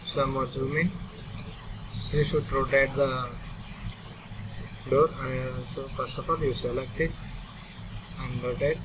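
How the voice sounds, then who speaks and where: A man with an Indian accent narrating a step-by-step software tutorial in short, halting, instructional phrases. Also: mid-range voice, fairly even intonation. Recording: distant mic, loud vehicle-like background noise.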